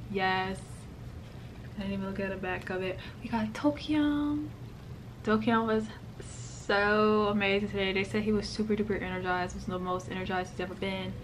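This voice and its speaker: A young woman talks straight into her phone camera, her voice raspy and worn hoarse from the night before. She gushes along casually, stringing thoughts together with "like" and "literally." A steady hum of ambient noise sits plainly under the recording. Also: medium pitch. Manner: gushing, chatty, peppered with "like" and "literally". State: hoarse after a concert. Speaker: vlogger. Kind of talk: casual vlog chat to camera. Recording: clear background ambience noise.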